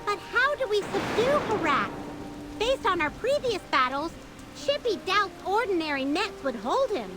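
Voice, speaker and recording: A woman's voice: extremely high pitch, sing-song, moderate pace, music in the background. A woman voicing a small, proud and dutiful hamster knight in a video game, speaking earnestly. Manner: earnest, refers to self in third person. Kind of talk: scripted game voice acting.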